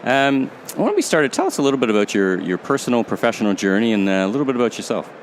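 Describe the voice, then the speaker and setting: A man's voice: medium pitch, sing-song, fast talker, slight background noise. A man hosting a retail podcast, reading a scripted intro and then interviewing a guest, with some hesitant restarts in his questions. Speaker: podcast host. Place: open-roof podcast booth at a trade show.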